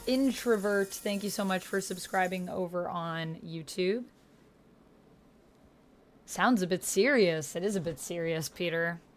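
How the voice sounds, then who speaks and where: A woman with a low-pitched voice hosts a casual livestream. She talks in an effusive, chatty way, reading and answering chat messages as she goes. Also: unhurried, a little hiss or hum. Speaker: livestream host playing a text roleplay game.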